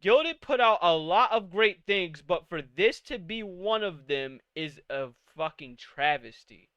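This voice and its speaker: A man's voice: sits high, animated, unhurried, a bit bright, clean tone, dry recording, dull audio. A man in his late twenties hosting a live-streamed podcast, ranting casually with profanity and exasperated rhetorical questions. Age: 20s. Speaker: podcast host.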